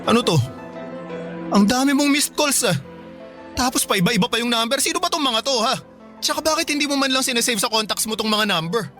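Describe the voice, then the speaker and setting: High-pitched, intense young boyfriend. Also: male voice, animated, brisk, sharp tone, soft background music, full character voice. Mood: alarmed, insistent. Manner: intense, insistent, emotional. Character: suspicious, insistent young boyfriend who turns protective. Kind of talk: Filipino radio drama acting.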